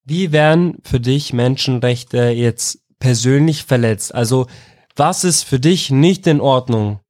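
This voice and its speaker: A man's voice: medium pitch, unhurried, darkish tone, no echo, clean studio sound. A man from Iraq interviewing young people in a casual way, often restating his questions in other words. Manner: informal, rephrases his questions several ways.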